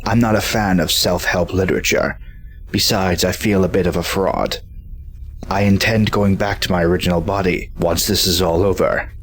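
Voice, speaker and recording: A man playing a calm, dry-humored robot hero with an understated, earnest delivery. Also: low-pitched, moderate pace, natural voice.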